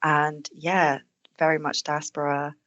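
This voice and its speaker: A woman from Denmark joins a podcast panel and speaks reflectively, laughing lightly as she explains herself. Her voice sits low for a woman and sounds close and dry. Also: little pitch movement, slow pace, fairly bright tone, no echo, highs cut off. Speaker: podcast guest. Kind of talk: conversational panel discussion.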